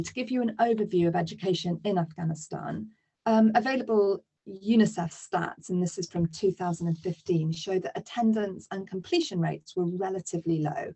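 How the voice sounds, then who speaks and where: Low mellow woman presenting a webinar. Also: moderate pace, gravelly, husky, low-quality recording. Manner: steady explaining with fillers.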